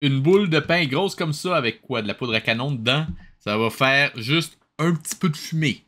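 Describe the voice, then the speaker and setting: A man's voice: medium pitch, lively intonation, normal speed. A man with a Quebec French accent giving casual, joking commentary as he hosts a cartoon watch-along. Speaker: host reacting to a cartoon. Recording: loud cartoon soundtrack behind the voice.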